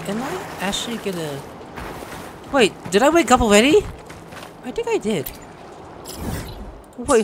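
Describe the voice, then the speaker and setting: Chatty, playful woman streamer. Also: medium pitch. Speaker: gameplay streamer. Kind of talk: casual let's-play commentary, reading dialogue aloud.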